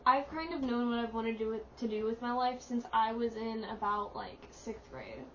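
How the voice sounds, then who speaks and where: A woman's voice: a little high, lively intonation, moderate pace, a bit bright. A teenage girl chatting on a student podcast, determined and casual, with many "like"s. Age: teens. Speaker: podcast co-host. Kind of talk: casual chat. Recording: distant, dull mic with faint hiss.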